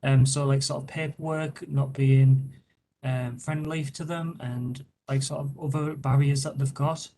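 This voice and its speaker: A man's voice: medium pitch, steady tone, a little slow, warm tone, a little hiss or hum. A man councillor asking a question over a video call, rambling with many fillers.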